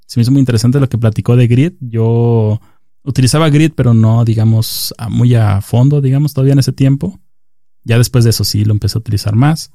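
A man's voice: mid-range voice, a little fast, vocal fry, warm tone, dry recording, studio quality. A man hosting a casual interview, chatty and explaining things to his listeners. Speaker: podcast host.

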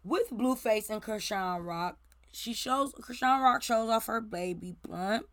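A woman in her forties hosts celebrity gossip with a slightly raspy voice that still cuts through bright and forceful. She talks in a blunt, profane, ranting way. The sound is dry, with no room around it. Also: on the high side, unhurried, no echo. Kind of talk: casual gossip commentary.

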